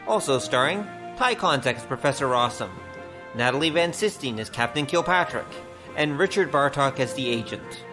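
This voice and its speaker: A man announces an audio drama in scripted style. His voice is medium in pitch, and music plays clearly behind him. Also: animated, moderate pace, rough recording.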